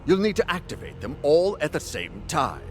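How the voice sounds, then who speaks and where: A composed strategist in a fantasy game. The voice is medium in pitch with lively movement. The delivery is deliberate and explanatory, over faint music. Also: male voice. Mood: instructive. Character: composed male strategist briefing warriors. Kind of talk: dramatic video game acting.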